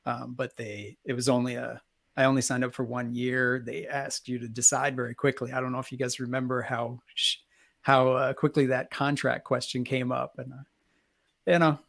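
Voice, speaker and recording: A man co-hosting a casual interview, with a mid-pitched, gravelly voice. He tells stories in a relaxed way, with plenty of uhs and ums. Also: a little fast. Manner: relaxed storytelling with fillers. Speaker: co-host.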